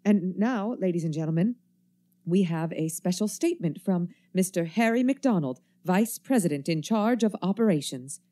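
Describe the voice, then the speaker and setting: An actress playing a formal, composed radio news announcer reading bulletins in a 1930s-style radio drama. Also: female voice, on the low side, lively intonation, normal speed, warm tone. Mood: composed urgency.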